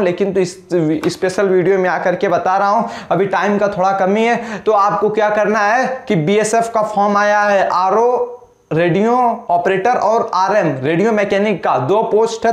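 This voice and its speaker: A man's voice: sits high, roomy sound. A young man talking straight to camera, giving urgent, repeated advice about filling out a recruitment form, gesturing a lot as he speaks. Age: young adult. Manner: urgent, exhorting, repeats instructions, heavy hand gestures. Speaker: exam coaching YouTuber. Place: small indoor room. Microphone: camera in front, mid-close framing.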